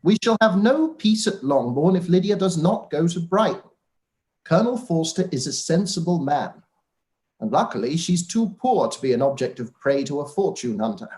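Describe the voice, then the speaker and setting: An actor playing a wry, indulgent older father in a live-read radio play, dry and gently mocking. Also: fairly high pitch, sing-song, normal speed. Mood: soothing.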